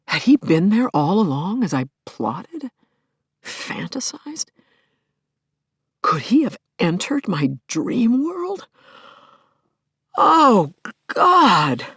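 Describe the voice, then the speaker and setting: A middle-aged widow tells her story in an intimate audio-play monologue. She is tender and wry, with a medium-pitched voice that drops into hushed breaths, recorded close and dry. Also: sing-song, extremely slow, pauses often, slightly rough, no echo, heavily acted. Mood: shaken. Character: grieving widowed scientist, wistful, wry, tender, anxious. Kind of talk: intimate dramatic first-person monologue.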